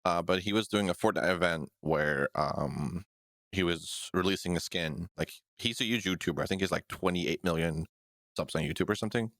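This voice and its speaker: A man with a deep, low voice chats casually as a podcast co-host. His talk is profane and full of jokes, and he laughs often. The sound is close and dry, with no room echo. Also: moderate pace, fairly bright tone. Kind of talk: casual chat.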